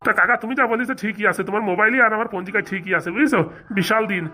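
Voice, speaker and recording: A woman's voice: medium pitch, racing, bright, forceful tone, soft background music. A woman voicing a greedy, eager male village priest in a comic cartoon, wheedling in a rural Bengali accent. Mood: pompous, eager.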